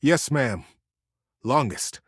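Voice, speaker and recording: A man's voice: medium pitch, expressive, very slow, breathy edge, no room sound, clean studio sound, pitched above the performer's own voice, exaggerated voice. A man voicing an earnest male beaver officer in a storybook tale, respectful, eager and determined. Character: earnest, dutiful male beaver under-general.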